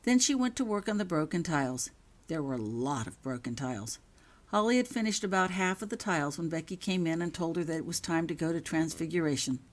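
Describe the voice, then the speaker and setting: A woman's voice: deep voice, animated, brisk. A woman reading her own written story aloud as a scripted narration. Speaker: author reading own fan fiction.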